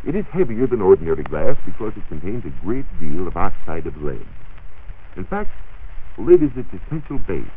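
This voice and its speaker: An American man giving precise, measured explanations as a science reporter on a 1940s radio show. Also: sits low, very animated, a little hiss or hum. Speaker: science reporter answering listener questions. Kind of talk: 1940s radio science talk, partly scripted. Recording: muffled, narrow-band 1940s radio recording.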